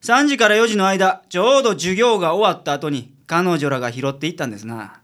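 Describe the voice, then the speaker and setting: ラジオドラマで、女性が関西弁のぶっきらぼうで頭の切れる男性探偵を芝居がかった調子で演じている。. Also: female voice, fairly low pitch, animated, brisk, fairly bright tone. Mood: knowing. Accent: Kansai. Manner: brusque, theatrical deductions. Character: gruff, shrewd adult male private detective. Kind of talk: community radio drama acting.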